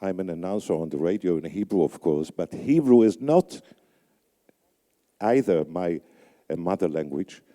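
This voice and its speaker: An older man, raised on Yiddish, speaks slowly into a microphone on a conference stage, picking his words with small pauses. His voice is low and very dark, and it rings out in a large reverberant hall. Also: animated. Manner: deliberate, halting with fillers. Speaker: radio announcer hosting a conference. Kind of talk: emcee remarks on stage.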